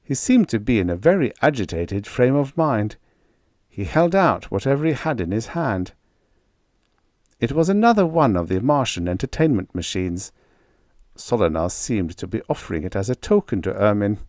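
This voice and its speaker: A man reading a story aloud as an audiobook narrator, in a measured, expressive literary manner. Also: fairly low pitch, dry recording, studio quality.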